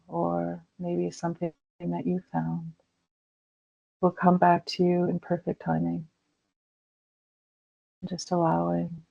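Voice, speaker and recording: A woman leading a guided meditation in a slow, soothing way with gentle pauses. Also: fairly low pitch, vocal fry. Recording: dull lo-fi recording.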